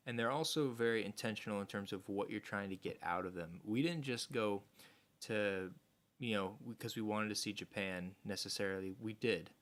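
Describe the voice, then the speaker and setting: A man from the United States hosting a podcast, explaining things in a relaxed, rambling way. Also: on the low side, normal speed. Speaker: podcast host. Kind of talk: casual chat.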